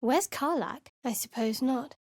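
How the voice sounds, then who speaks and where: A secretive cleric from a game, dry and sarcastic, a bit high, super dry studio sound. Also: female voice, unhurried, a little mellow, no room sound. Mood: wry. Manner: dry, wry and cool. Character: guarded, sardonic young woman cleric with secrets. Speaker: companion character in a video game.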